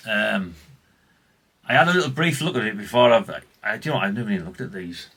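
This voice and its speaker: A man's voice: fairly low pitch, very animated, fast, a bit bright, slightly rough. A man, chatting casually and ramblingly as he presents old radio gear in a vlog. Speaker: vlogger showing vintage CB radios. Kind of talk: casual, unscripted chat.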